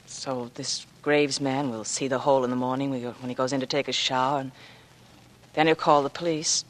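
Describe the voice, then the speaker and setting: A woman's voice: rumbling low, fairly even intonation, brisk. A wistful young woman in a vintage radio drama. She is world-weary and homesick, speaking with tender, earnest urgency and a pleading edge. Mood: hushed, concerned. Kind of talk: 1940s radio acting.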